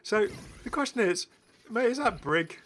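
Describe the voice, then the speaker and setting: A man's voice: high-pitched, expressive, moderate pace, fairly bright tone, a little hiss or hum. A British man giving casual, enthusiastic, rambling commentary as the host of a gaming video. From Britain. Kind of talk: casual commentary over game footage.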